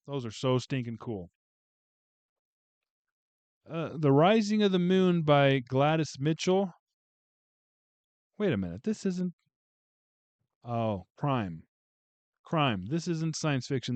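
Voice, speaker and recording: A man's voice: mid-range voice, expressive, slow pace, long pauses, darkish tone, no echo, highs cut off. A man casually going through a book haul on a video, chatting and joking with viewers as he reads out titles. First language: English. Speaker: booktube vlogger.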